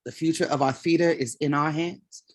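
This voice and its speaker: A woman's voice: deep voice, steady tone, moderate pace. Woman acting a blunt, impassioned theater company leader. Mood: resolute. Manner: blunt, emphatic speech-making. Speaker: actor in a staged reading. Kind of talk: staged play reading.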